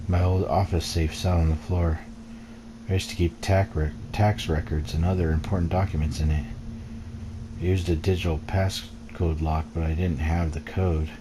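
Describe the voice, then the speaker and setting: Deep-voiced man narrating a dark story. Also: flat delivery, moderate pace, noisy background. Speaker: let's play gamer reading game text aloud. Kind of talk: dramatic first-person story narration.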